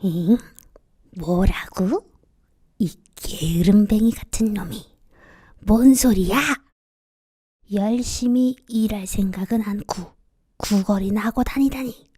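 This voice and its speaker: A woman voicing a greedy, bullying older brother in a storybook folktale, scolding loudly and with contempt. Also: mid-range voice, slow, pauses often, breathy edge. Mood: scornful, angry.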